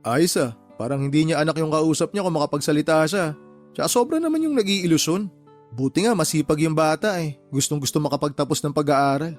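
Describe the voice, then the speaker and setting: A man plays a helpful uncle in a Filipino radio drama. His voice is a little high for a man and his manner considerate. Light music sits behind him. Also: fairly quick, natural voice. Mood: indignant. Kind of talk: Tagalog radio drama acting.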